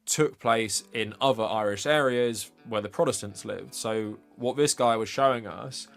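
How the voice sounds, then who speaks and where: A man's voice: fairly low pitch, a little slow, gravelly, soft background music. A man with an English accent giving informal, opinionated political commentary as a livestream presenter, speaking in a conversational, emphatic way.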